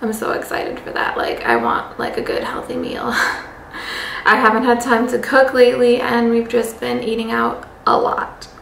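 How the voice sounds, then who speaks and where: A woman's voice: on the high side, sing-song, moderate pace, creaky, a bit bright, reverberant, recorded from a distance, a little hiss or hum. A young woman vlogging at home, talking casually to camera with many "like" fillers. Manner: chatty, loose, many "like" fillers. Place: home living room, indoors.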